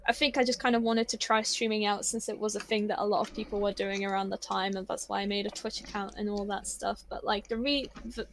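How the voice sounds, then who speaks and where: A woman's voice: medium pitch, flat delivery, fast, hardly any pauses, a little hiss or hum. A woman whose first language is Polish, chatting as a streamer guest on a podcast, shy and rambling with many fillers and restarts. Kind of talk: casual podcast chat.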